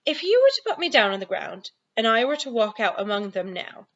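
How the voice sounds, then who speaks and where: A woman's voice: a little high, very animated, fairly quick, highs cut off. A woman voicing a small, inquisitive and indignant young girl in a storybook, outspoken and wide-eyed. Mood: fearful.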